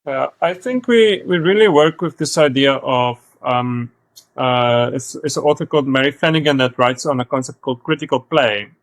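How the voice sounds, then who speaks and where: A man's voice: mid-range voice, normal speed, no echo. A man in his thirties with a South African accent, talking as a guest in a podcast interview, hesitant, with many fillers and restarts. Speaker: guest, studio co-founder.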